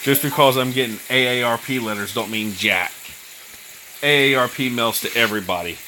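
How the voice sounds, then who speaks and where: A man's voice: mid-range voice, a little fast, pushed and bright, a little hiss or hum, rough recording. A man in his thirties, hosting a casual live chat and telling stories with a joking, teasing manner. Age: 30s. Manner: joking, storytelling banter. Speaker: channel host.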